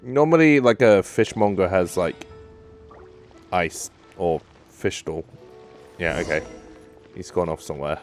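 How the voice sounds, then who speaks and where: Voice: sits low, measured pace, pauses often. A gamer giving casual let's play commentary, muttering asides and short reactions while playing. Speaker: gaming streamer playing and commenting on a video game. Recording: game music behind the voice. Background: video game music and dialogue.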